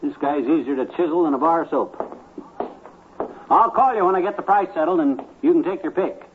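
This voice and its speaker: A man's voice: on the high side, fairly quick, natural voice. A man playing a boastful, cocky cheapskate husband who loves to haggle, in a slangy 1950s radio comedy style. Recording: tinny, hissy 1950s radio recording.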